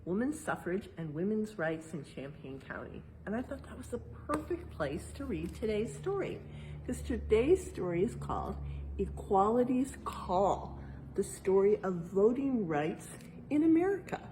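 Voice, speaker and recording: A woman's voice: mid-range voice, sing-song, a little slow. Woman reading aloud over noticeable room noise. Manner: explanatory, checks in with tag questions. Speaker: museum educator reading a picture book aloud. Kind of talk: read-aloud storytime with casual explanatory asides. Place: indoors in a museum exhibit.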